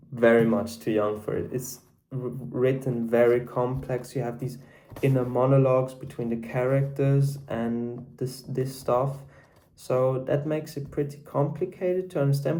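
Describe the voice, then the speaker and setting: Sixteen-year-old Swiss boy, German first language, soft mellow tone, stumbling and restarting as he reviews books. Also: medium pitch, rough recording. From Switzerland. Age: teens, 16. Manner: hesitant, with many restarts and repeated words. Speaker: book vlogger. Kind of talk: casual unscripted book review.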